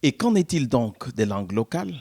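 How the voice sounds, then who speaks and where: A man with a mid-pitched voice narrates a report. His delivery is scripted and formal, in the manner of a news reporter. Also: very animated, normal speed, a little mellow. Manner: formal, literary phrasing.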